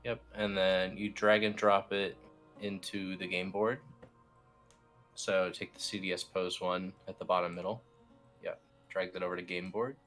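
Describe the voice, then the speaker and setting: A man with a low voice guides someone through software one click at a time. His delivery is informal and a bit hesitant, like a colleague instructing over a screen. Faint music plays underneath. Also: flat delivery, normal speed. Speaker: team lead explaining software steps. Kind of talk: casual step-by-step screen tutorial.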